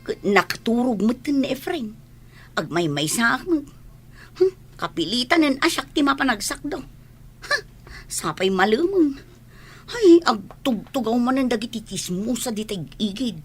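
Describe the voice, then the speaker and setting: A woman with an Ilocano accent playing a bossy, sharp-tongued village wife in a comedy radio drama. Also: a little high, very animated, normal speed, husky. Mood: gossipy, grumbling.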